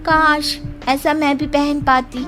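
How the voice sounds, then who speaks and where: A woman's voice: high voice, level tone, takes it slowly, fairly bright tone, soft background music, pitched above the performer's own voice. A woman voicing a wistful, envious young sister-in-law in a plaintive sing-song, in a cartoon moral story. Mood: admiring, wistful.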